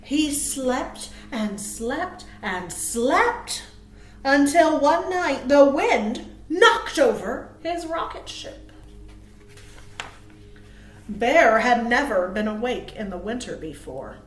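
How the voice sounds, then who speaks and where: A woman reading aloud to small children as a storytime host, cheerful and expressive. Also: high voice, takes it slowly. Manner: cheerful, expressive, asks listeners questions. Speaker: children's librarian hosting storytime. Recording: roomy, echoey sound.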